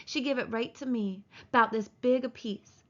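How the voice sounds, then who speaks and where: A woman voicing a big, slow-witted, childlike man who is gentle and easily frightened, speaking in a drawling rural way. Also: a little high, dull audio. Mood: wistful. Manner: drawling rural speech, pleading.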